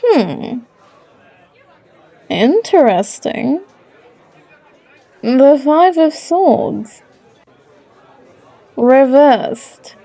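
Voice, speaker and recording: Woman playing a slow, mellow, teasing female barkeep fortune teller. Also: high-pitched, very animated, some chatter behind, heavily acted, low-quality recording. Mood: wise, teasing. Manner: coaxing, unhurried, calls listener love. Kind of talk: character audio roleplay acting.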